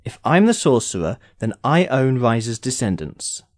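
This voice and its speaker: A man acting as an immortal sorcerer in an audio drama, with a very lively, swooping delivery in a mid-range voice. He is urbane and sardonic, phrasing things formally with impatient wit. The sound is close and dry. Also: mid-range voice, a little slow, dry recording. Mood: dry, matter-of-fact. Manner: wry, formal, theatrical phrasing.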